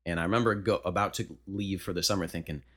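Deep-voiced young baritone man. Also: steady tone, fairly bright tone. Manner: measured, anecdotal. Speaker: band singer on a podcast. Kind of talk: casual podcast chat, storytelling.

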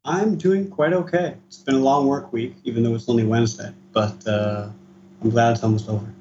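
A man's voice: mid-range voice, fairly even intonation, a little fast, mellow. Man, late 20s, hesitant guest, dull lo-fi line, faint hiss. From East Coast of the United States. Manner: hesitant, many uh fillers. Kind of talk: casual interview.

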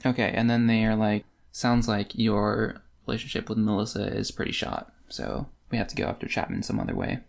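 Podcast co-host with noticeable vocal fry, mid pitch, informal and wry book chat. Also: lively intonation, fairly quick, hardly any pauses. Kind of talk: casual book discussion chat.

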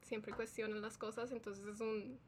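A woman chatting as a guest scientist, lively and quick to laugh. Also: on the high side, a little hiss or hum. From Colombia. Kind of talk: relaxed interview chat.

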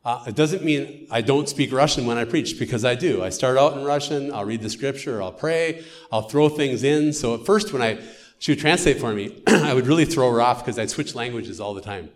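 A man's voice: mid-range voice, moderate pace. A middle-aged American man, a missionary, giving an earnest storytelling testimony in church. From United States.

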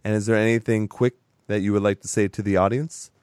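A man hosting a casual podcast chat with a hoarse, raspy voice that is strained from sickness; he talks in a chatty, rambling way and laughs often. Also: fairly low pitch, steady tone, fast, a little mellow, no echo, studio quality. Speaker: podcast host.

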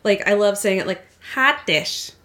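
A woman's voice: mid-range voice, lively intonation, normal speed, bright, forceful tone. A woman from Minnesota co-hosting a casual podcast, with dry, teasing remarks. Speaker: podcast co-host. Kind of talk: casual chat.

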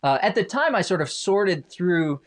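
Male guest, grew up in Indonesia, wry self-deprecating storyteller, voice on the higher side. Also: very animated, moderate pace. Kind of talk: personal storytelling in conversation. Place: home basement.

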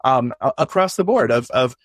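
A man's voice: medium pitch, lively intonation, normal speed, husky, no echo, studio quality. A man in a casual discussion, reflective, explaining at length and posing rhetorical questions.

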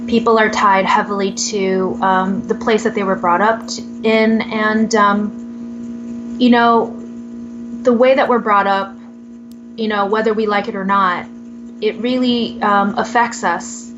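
A young woman from Southern California tells her story as a podcast guest, reflective and unhurried. She fills pauses with "um" and soft laughs. Her voice comes through a little muffled and lo-fi. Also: medium pitch, fairly even intonation, over music. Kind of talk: casual interview, telling her life story. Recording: slightly muffled lo-fi audio.

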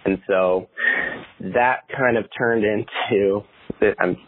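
A man's voice: fairly low pitch, steady tone, slow pace, slight background noise. A man in his twenties talking casually as an interview guest, rambling with many fillers and restarts. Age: 20s. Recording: tinny phone-like audio.

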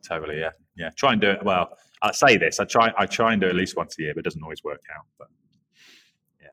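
An Englishman in his forties from the Norwich area, chatting as an interview guest in a relaxed, rambling way with many restarts. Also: deep voice, fast talker. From England, Norwich area. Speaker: guest, creative director. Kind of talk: casual interview chat.

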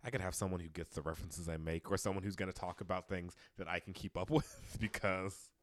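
A man's voice: sits low, fairly even intonation, quick pace, no echo. A man in his late twenties, co-hosting a casual podcast, talking in long rambling stretches with lots of fillers and self-deprecation. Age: 20s. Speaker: podcast co-host. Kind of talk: casual chat.

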